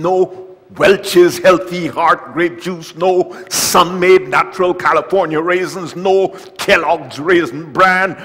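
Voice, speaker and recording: An older man preaching a sermon at a church lectern, with wry humor, reading scripture loudly and dropping to quiet asides. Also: fairly high pitch. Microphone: lectern mic, far camera. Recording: long church hall reverb.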